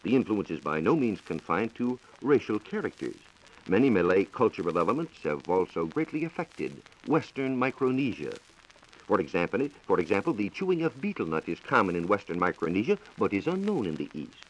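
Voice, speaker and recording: An American man giving a scripted 1940s radio science talk as a reporter, in a measured, formal lecturing manner. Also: fairly low pitch, big pitch swings, poor audio. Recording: 1940s radio broadcast recording.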